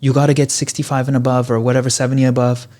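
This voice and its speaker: Canadian man, 30s, Polish-born parents; lively explainer, rhetorical questions, mid-pitch. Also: very fast. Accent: Canadian English. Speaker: podcast guest, physiotherapist. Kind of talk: casual conversational interview.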